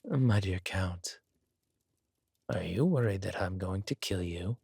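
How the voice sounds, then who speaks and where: Fantasy villain king, male performer: deep, slow, silky and measured, courteous with quiet menace. Dry audio-drama sound. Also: creaky, husky, dry recording, exaggerated voice. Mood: quietly menacing.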